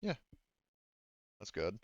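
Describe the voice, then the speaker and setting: A man talking casually as game master to his players in a halting, hedging way. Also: darkish tone, dry recording, lo-fi sound. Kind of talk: casual game-running talk among players. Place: online voice session.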